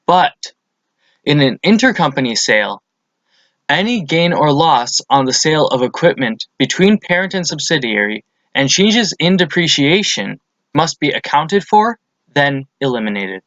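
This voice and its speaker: Higher-voiced man narrating a methodical lesson. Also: very animated, a little slow, fairly bright tone, no echo, muffled recording. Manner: methodical, explanatory. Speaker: accounting lesson presenter. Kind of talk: scripted instructional narration.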